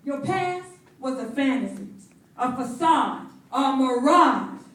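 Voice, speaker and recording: A woman plays a sassy, protective girlfriend in a live stage drama. She is blunt and sardonic, stretching her words slowly for emphasis in a high voice. The sound is distant, ringing around a roomy hall. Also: expressive, fairly bright tone, exaggerated voice. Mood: sardonic, schooling. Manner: emphatic, drawn-out, sardonic, preacherly flourishes. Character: sassy, blunt, protective best friend, scolding and incredulous. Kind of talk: live stage play acting. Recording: echoey hall from far off.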